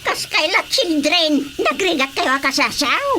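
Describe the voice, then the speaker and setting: An actress as an excitable Ilocano village woman in radio comedy. The voice is extremely high and sharp, swinging about in pitch. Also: a little slow, a little hiss or hum, exaggerated voice. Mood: eager, excitable. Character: excitable villager answering the chairman.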